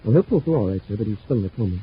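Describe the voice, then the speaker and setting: Actor as an eager, fast-talking young cadet. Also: male voice, fairly low pitch, animated, natural voice. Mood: eager, chatty. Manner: eager, chatty, deferential. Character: eager young male space cadet sidekick. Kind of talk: 1950s radio acting. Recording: muffled old radio recording, faint noise.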